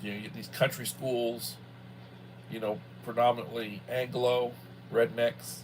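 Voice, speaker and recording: A man in his sixties talks off the cuff in a casual livestream style at a middling pitch. His delivery is folksy and halting, often circling back to repeat a phrase. A light background hum runs under the voice. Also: level tone, poor audio. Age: early 60s. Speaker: livestream host, school worker. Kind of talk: casual rambling livestream chat.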